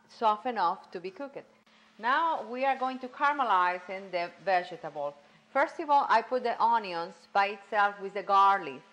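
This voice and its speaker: A woman's voice: medium pitch, a bit bright, poor audio. Cuban-accented woman demonstrating a recipe. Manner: halting, many ums, non-native phrasing. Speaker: guest cook. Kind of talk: cooking demonstration. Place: home kitchen, indoors.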